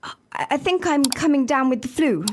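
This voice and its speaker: A woman voices a young employee calling in sick, her voice high and light. She pauses to cough and clear her throat as she lists what is wrong with her. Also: a little hiss or hum, lightly acted. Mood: sickly. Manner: coughs and clears throat while listing symptoms.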